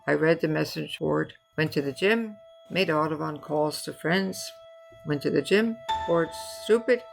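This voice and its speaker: An older American woman reading spoken-word poetry in measured, short phrases. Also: low voice, vocal fry, rough recording. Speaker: poet reading own work. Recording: music behind the voice. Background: music.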